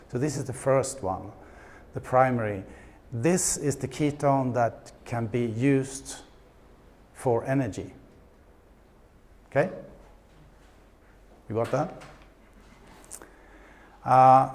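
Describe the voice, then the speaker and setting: Slow-talking Swedish man giving a talk. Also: mid-range voice, a little mellow, a little hiss or hum. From Sweden. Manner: hesitant, many fillers and restarts, dry humor. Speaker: conference speaker. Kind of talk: conference talk. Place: conference stage.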